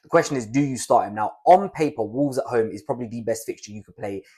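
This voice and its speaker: A young adult man with a croaky, raspy voice from a cold, giving chatty fantasy football analysis on his channel. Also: mid-range voice, darkish tone. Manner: chatty, conversational, fast. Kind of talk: casual analysis to camera.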